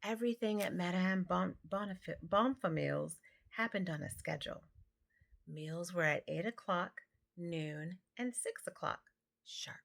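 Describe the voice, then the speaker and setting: A woman's voice: fairly low pitch, a little slow, gravelly, fairly bright tone. A woman reading a children's picture book aloud, stumbling now and then over words. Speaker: amateur storybook reader.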